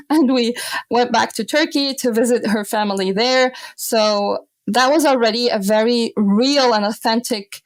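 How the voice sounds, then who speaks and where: A woman in her twenties who grew up in Austria, telling stories as a podcast guest, animated and often laughing. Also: on the high side, no echo. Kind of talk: casual podcast interview.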